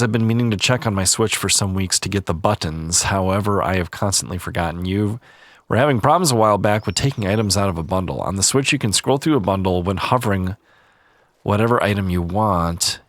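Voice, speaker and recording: A man hosting a relaxed gaming video, chatting calmly and reading viewer comments, a little stuffy-nosed. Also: deep voice, no echo. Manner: calm, easygoing, chatty. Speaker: gaming channel host.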